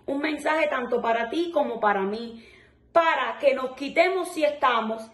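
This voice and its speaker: A woman's voice: high voice, normal speed, a bit bright, echoey room. A woman in her twenties from Cuba, speaking firmly and earnestly in an on-camera interview indoors. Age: 20s. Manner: firm, earnest. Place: bright indoor room.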